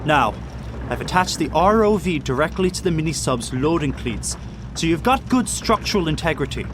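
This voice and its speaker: Fussy, high-voiced ship's first mate. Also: male voice, very animated, normal speed, over music. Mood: earnest briefing. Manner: formal, precise, wordy technical briefing. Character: diligent ship's first mate and diving technician, cautious, fussy. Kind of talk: audio drama acting. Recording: clear outdoor boat and engine noise.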